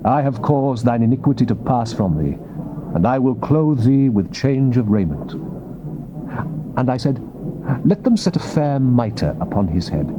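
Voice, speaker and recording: A man giving a solemn, declamatory dramatized reading of the King James Bible as scripture narrator. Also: mid-range voice. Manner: solemn, declamatory, theatrical delivery. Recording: noisy old cassette tape.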